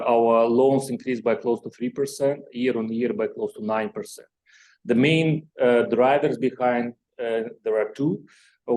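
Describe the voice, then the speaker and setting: A man who is a non-native English speaker, presenting financial results as a company executive in an explanatory, measured way. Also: medium pitch, warm tone. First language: not English. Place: conference call.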